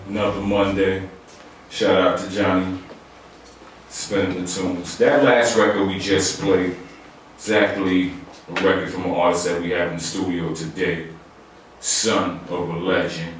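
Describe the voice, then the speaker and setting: A man hosting a radio show, interviewing a guest in a relaxed, conversational way with some fillers. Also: low voice, a little slow. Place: radio studio. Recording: very echoey room, distant mic, faint noise.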